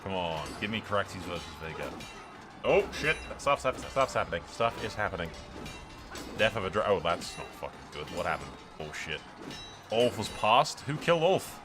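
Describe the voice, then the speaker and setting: A man hosting a gaming video with excitable let's-play commentary, swearing freely and swinging between dramatic exclamations and dry understatement. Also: low voice, moderate pace, over music.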